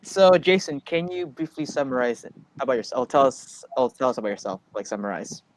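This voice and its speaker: A man's voice: medium pitch, expressive, a little fast. A man co-hosting an online interview, chatty and casual. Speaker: co-host and producer. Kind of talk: casual chat.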